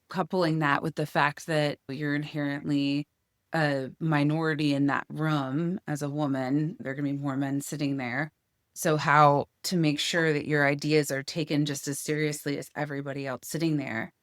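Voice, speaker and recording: A woman's voice: deep voice, no echo, clean studio sound. A woman hosting an interview, asking engaged, expansive questions. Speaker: host. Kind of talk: interview conversation.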